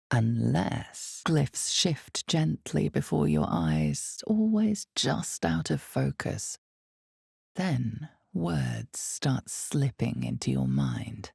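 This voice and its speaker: A woman playing the ominous, omniscient narrator of a dark fantasy video game, speaking in a measured, theatrical way with weighted pauses and a husky, gravelly voice. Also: very deep voice, no echo, clean studio sound, exaggerated voice. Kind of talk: dramatic second-person scripted narration.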